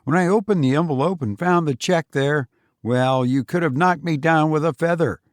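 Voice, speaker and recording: A man's voice: on the high side, normal speed, no room sound, clean studio sound, lightly acted. A man voicing a smug, patronizing, chatty English writer with a gushing, falsely modest manner in an audiobook story. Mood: pleased, boastful. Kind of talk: acted dialogue in a literary audiobook.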